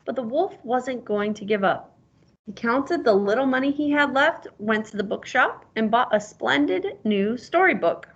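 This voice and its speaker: A woman's voice: fairly high pitch, lively intonation, moderate pace, husky, highs cut off. A woman reading a storybook aloud like a teacher, encouraging and prompting the listener with questions.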